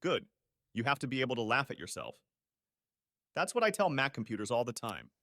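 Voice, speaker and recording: A woman playing a cheeky, gossipy AI assistant in a comedy sketch, matter-of-fact and deadpan. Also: extremely low pitch, fairly quick, full character voice.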